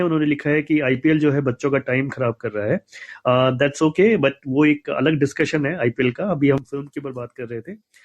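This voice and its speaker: A man with an Indian accent hosting a film discussion conversationally, with hesitant pauses. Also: fairly high pitch. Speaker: host of a film discussion show. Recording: dull, lo-fi online audio.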